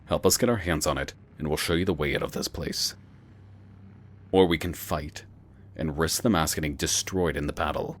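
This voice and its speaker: A man playing a stern mechanical warrior hero with a measured, firm delivery in an animated fan dub. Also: extremely low pitch, slight background noise.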